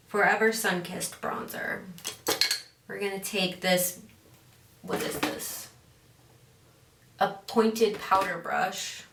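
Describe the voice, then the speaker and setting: A woman's voice: fairly low pitch, noisy background. A woman chatting casually while doing her makeup, often losing her train of thought and circling back. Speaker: beauty vlogger. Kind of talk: casual makeup tutorial chat. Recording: roomy echo, mic at a distance.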